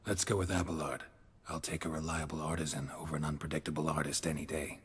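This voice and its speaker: A gruff fantasy witcher from a video game. His voice is deep and extremely husky, almost gravel. He speaks in short, dry, understated lines with long reverb around them. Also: level tone, fast talker, exaggerated voice. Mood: matter-of-fact. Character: gruff, laconic male witcher with cat eyes. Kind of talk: video game dialogue acting.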